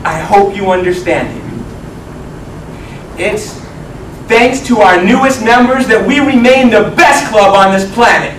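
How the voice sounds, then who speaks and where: A young man giving a rhyming comic tall-tale speech in a theatrical, projected manner with dramatic pauses. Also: high-pitched. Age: young adult. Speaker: contestant speaker. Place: medium banquet meeting room, indoors. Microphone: distant camera microphone. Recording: echoey room, far from mic, noisy. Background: audience noise.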